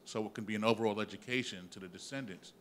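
A man's voice: on the low side, fast talker, fairly bright tone, slight background noise. A man giving an earnest, emphatic comment from the audience at a panel discussion. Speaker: audience member. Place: large theatre hall.

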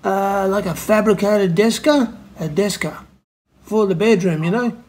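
A man's voice: high voice, a little slow, darkish tone. A middle-aged man playing an eager, bumbling prank caller who fumbles his English, hesitating and repeating himself. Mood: muddled. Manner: hesitant, repetitive, muddled word-searching. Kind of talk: comic prank phone call. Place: home kitchen, indoors. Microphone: camera close to face, phone on speaker.

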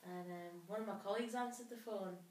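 A woman's voice: medium pitch, fairly quick, darkish tone. A middle-aged woman with an English accent, chatting casually and telling stories by acting out what people said. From born in Scotland, brought up mainly in England. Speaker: nurse. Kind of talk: casual family chat. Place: domestic living room, indoors. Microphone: camera a few metres away. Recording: roomy home recording, distant mic, background noise.

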